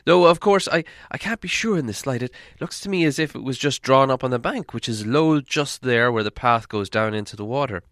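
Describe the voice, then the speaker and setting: An eager, anxious little hobbit played by a male storybook reader speaks in a raised, high voice. He stammers and hesitates, then exclaims urgently, in a close, dry recording. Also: a little fast, hardly any pauses, a bit bright, no room sound, close to an everyday voice. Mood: hesitant, observant.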